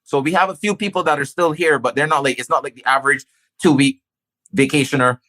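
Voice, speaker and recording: A man's voice: fairly high pitch, fairly even intonation, fast, pushed and bright. A man with a Barbadian accent, a business owner talking casually and stressing his points by repeating phrases. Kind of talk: casual conversation.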